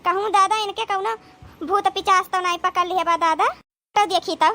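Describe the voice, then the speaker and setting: A dramatic elder sister-in-law in a cartoon, extremely high with a gravelly creak. She scolds and laments with loud exclamations in an Awadhi accent, over faint background noise. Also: fairly even intonation, normal speed. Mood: alarmed scolding. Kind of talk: cartoon comedy dialogue.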